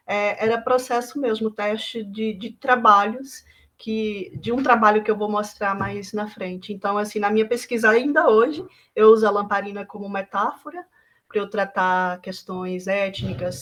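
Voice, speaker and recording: A Brazilian woman artist from Bahia, talking through her work in a reflective, halting way with many fillers and restarts. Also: mid-range voice, fairly even intonation, fairly bright tone. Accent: Brazilian Portuguese. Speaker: visual artist.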